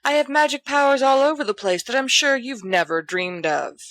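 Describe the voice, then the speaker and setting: A woman reading a storybook as a bossy, sharp-tongued young woman with a booming, mocking manner. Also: animated, a little fast, no room sound. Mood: commanding.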